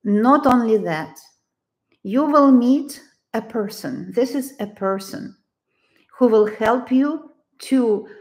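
A woman in her sixties with a Ukrainian accent, giving a tarot reading in a halting, deliberate, gentle way. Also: a little high, lively intonation. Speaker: tarot reader. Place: living room, indoors.

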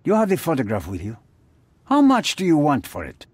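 An actor playing a menacing, haughty middle-aged crime boss with a husky voice, speaking formally and deliberately in a dramatic video game style. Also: male voice, fairly high pitch, huge pitch swings, dry recording, heavily acted. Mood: calculating, smooth.

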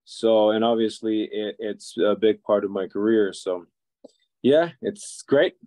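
A man in his forties with a Serbian accent, giving halting, reflective answers in a conversational interview. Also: on the low side, warm tone, no room sound. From Serbia, former Yugoslavia. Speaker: interview guest, violinist and music educator. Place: office or teaching room, indoors. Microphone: video call.

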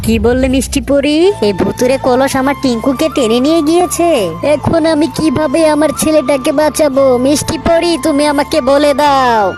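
A man's voice: very high-pitched, moderate pace, pitched above the performer's own voice. A man voicing a worried mother bird in a children's cartoon story, pleading for her child. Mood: anxious, pleading. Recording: clear music behind the voice.

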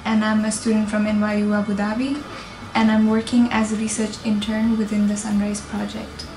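A woman's voice: medium pitch, monotone, a little fast, echoey room, over music, poor audio. A woman from Nepal introducing herself as a student and research intern, plainly and steadily. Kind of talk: short self-introduction.

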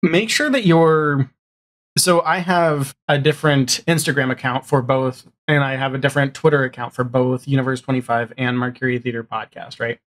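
Male podcast guest, medium-pitched voice, informal and meandering, checking in with the listener as he goes. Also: fairly even intonation, normal speed, fairly bright tone. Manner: rambling, thinks aloud, often ends on "right?". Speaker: guest, audio drama showrunner. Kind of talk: casual chat. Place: home room, video call.

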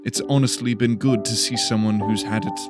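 A man's voice: fairly low pitch, steady tone, a little fast. A man reading scripted fiction as a dramatic audiobook narrator. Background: faint music.

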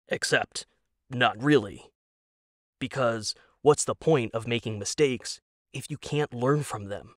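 A man narrating a scripted dramatic story in a deliberate, wry, deadpan manner. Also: mid-range voice, no echo, clean studio sound.

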